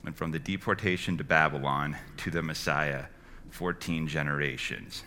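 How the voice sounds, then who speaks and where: A man reading a long genealogy from scripture in a slow, steady recitation. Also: rumbling low, a little hiss or hum. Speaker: scripture reader.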